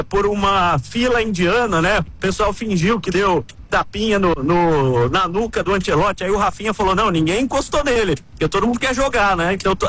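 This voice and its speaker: A man with a Brazilian accent, reporting on the team over a remote call and telling it in a steady, explaining way. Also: high voice, breathy edge, poor audio. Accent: Brazilian Portuguese. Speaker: reporter covering the national team. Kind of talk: sports talk panel. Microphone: remote call with a weak connection.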